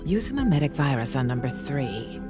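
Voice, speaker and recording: A man's voice: mid-range voice, very animated, moderate pace, music in the background, lightly acted. Curt, cynical male researcher played by an actor. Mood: stern, impatient. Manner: curt, skeptical, reproachful. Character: cold, impatient research colleague, cynical and stern. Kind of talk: video game dialogue acting.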